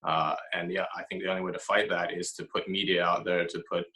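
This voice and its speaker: A man, an author being interviewed, answering in a reflective way with frequent pauses and restarts; he grew up in Haiti and Ethiopia. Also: low voice, flat delivery, bright, forceful tone.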